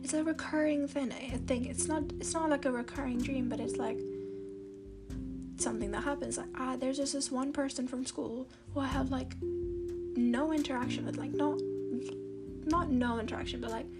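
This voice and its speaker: A teenage girl with a soft, youthful, baby-like voice, hosting a podcast at home in a chatty, meandering way with lots of "like" and restarts. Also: high voice, fairly even intonation, normal speed. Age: teens. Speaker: podcast host. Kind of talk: casual rambling podcast monologue. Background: loud guitar music.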